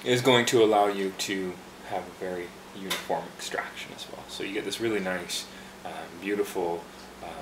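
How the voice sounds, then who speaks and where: A man working behind a tea bar casually explains how to brew a green tea, talking in a relaxed way with fillers and restarts. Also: low voice, moderate pace, hardly any pauses, roomy sound, noisy background. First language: English. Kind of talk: casual instructional demonstration.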